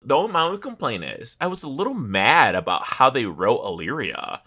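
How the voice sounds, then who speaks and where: Male podcast host: bright, forceful, very lively intonation, exclamatory, casual and foul-mouthed banter. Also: medium pitch, a little fast, slightly rough. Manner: animated, exclamatory, swears freely. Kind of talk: casual comedic podcast chat.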